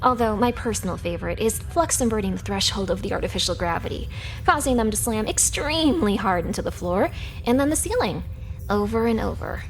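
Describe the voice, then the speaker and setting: A woman's voice: medium pitch, expressive, a little fast, natural voice. An actress playing a sardonic, teasing young female hacker in a sci-fi video game, speaking in a matter-of-fact way. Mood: smug, menacing. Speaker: video game character actor. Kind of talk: scripted video game character dialogue.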